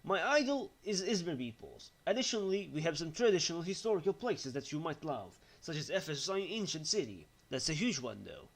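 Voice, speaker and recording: A man's voice: on the high side, sing-song, fairly quick, hardly any pauses. A young man with a Turkish accent presenting his home city in a scripted video. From Turkey. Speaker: student presenter.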